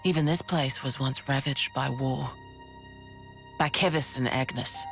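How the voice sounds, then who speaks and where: A woman's voice: extremely low pitch, a little slow. Casting: frank, assured British female resistance leader for a game cutscene, over prominent music. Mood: grave, explanatory. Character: composed, frank woman leading a rebel force. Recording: loud game music underneath. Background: game score.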